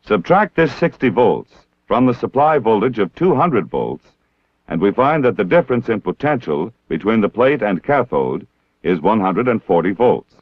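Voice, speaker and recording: An American man narrating a scripted training film in a formal, measured, precisely enunciated way. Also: lowish voice, sing-song, slightly rough, no room sound. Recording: dull 1960s film soundtrack.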